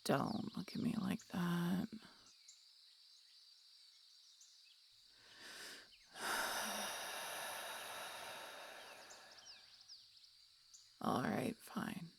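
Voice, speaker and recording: A woman's voice: fairly low pitch, very slow, creaky, long pauses, a little hiss or hum, full character voice. A woman playing a grumpy, cynical, guarded female harpy in an audio roleplay, talking in a curt, sardonic, grudging way. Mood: grudging, defensive.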